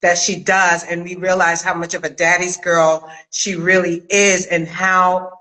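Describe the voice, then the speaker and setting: A woman hosting a casual interview chat, talking in an expressive, emphatic way. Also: fairly low pitch, a little slow, poor audio. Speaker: host, acting coach and writer. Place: remote video call.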